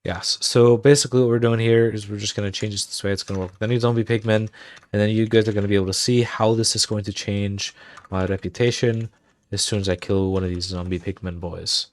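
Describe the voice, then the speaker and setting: Low-voiced man muttering through a game tutorial. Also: fairly even intonation, quick pace. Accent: put-on Irish. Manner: thinks aloud, muttering commands while typing. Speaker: streamer. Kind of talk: casual game livestream tutorial.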